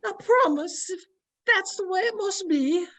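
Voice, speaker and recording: A woman's voice: very high-pitched, animated, unhurried, fairly bright tone, heavily acted. A woman playing a blunt, devoted household servant who scolds, in old-time radio drama style. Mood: resigned, sorrowful. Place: at home, recorded over a video call.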